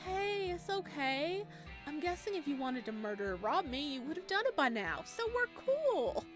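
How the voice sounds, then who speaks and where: A woman's voice: high-pitched, very animated, normal speed, music in the background, pitched above the performer's own voice. A woman voicing a friendly, puzzled visual novel protagonist in a casual, placating way. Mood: gently comforting.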